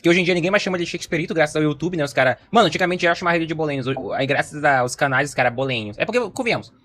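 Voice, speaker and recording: A man's voice: fairly high pitch, very fast, fairly bright tone, a little hiss or hum. A man with a Brazilian accent giving casual, slangy and rambling reaction commentary as a livestream host.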